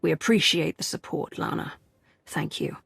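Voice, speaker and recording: Husky, gravelly-edged female commander. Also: low voice, moderate pace, a bit bright, no room sound, exaggerated voice. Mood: composed. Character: female military commander, calm, caring, resolute leader. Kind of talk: video game cutscene acting.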